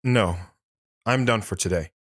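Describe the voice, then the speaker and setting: Man acting a low-voiced, haunted war veteran. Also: fairly bright tone. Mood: guarded. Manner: somber, measured, short plain sentences. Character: lone wandering war veteran, guarded, haunted, stoic man. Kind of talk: dramatic first-person audio drama narration. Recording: music behind the voice.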